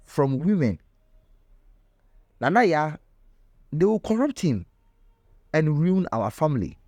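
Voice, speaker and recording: A man's voice: on the high side, big pitch swings, slow, darkish tone, dry recording, studio quality, heavily acted. A man voicing a possessive, desperate village grandmother in a folktale, insistent and defensive as she speaks.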